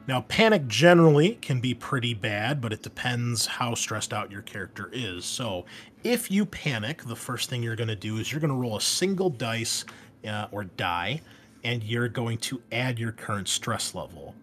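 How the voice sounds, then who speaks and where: A man's voice: mid-range voice, lively intonation, moderate pace, fairly bright tone, faint music behind. A man talking as a host and game master, explaining tabletop game rules informally and stressing key words. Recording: noticeable background noise.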